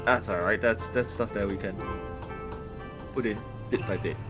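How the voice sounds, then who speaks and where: A man's voice: on the low side, fairly even intonation, moderate pace. A man chatting casually as a livestream co-presenter, hesitant and circling back over his phrases. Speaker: co-presenter working on the project. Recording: music playing behind voice. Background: music.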